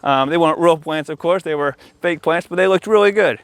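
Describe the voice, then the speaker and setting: A man's voice: on the high side, brisk, smooth, no echo. A man giving an informal, conversational product showcase outdoors in a backyard, addressing viewers casually as an installer. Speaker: audio-video installer presenting for company channel. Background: music.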